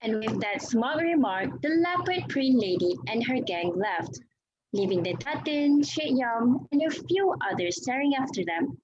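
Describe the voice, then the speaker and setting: A woman's voice: a little high, big pitch swings, unhurried, darkish tone, highs cut off, slight background noise. A woman narrating a story as a scripted dramatic reading.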